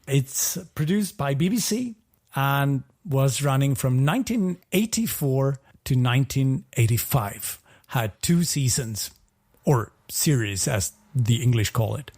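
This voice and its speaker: Male co-host, unhurried delivery, mid pitch, casual and slightly halting. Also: a little mellow. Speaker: podcast co-host. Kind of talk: casual podcast talk.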